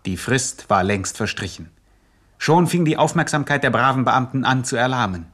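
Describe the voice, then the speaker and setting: A man's voice: medium pitch, animated, normal speed, a bit bright. A man narrating a satirical gangster radio play with scripted, mock-grave suspense.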